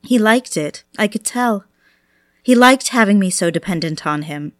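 An actress playing a desperate, obsessive mistress in an audio drama, with a needy, confessional manner. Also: female voice, medium pitch, lively intonation, normal speed, lightly acted. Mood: wistful confession. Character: desperate, obsessive mistress pleading for her lover's attention.

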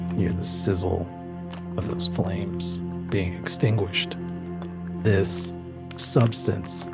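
Male game master, low register, unhurried and suspenseful horror narration, clear music underneath. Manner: slow, suspenseful, deliberate phrasing.

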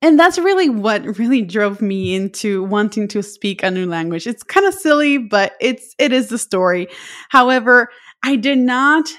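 A woman's voice: on the high side, lively intonation, normal speed, a bit bright. Puerto Rican woman hosting a podcast. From Puerto Rico. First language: Spanish. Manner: reflective, storytelling with personal anecdotes. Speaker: podcast host. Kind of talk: solo podcast monologue.

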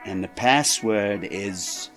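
A man's voice: lowish voice, takes it slowly, over loud music. A man acting a cocky, tough 1920s Chicago gangster's henchman in an audio drama, menacing, with slangy wise-guy talk. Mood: curt, businesslike.

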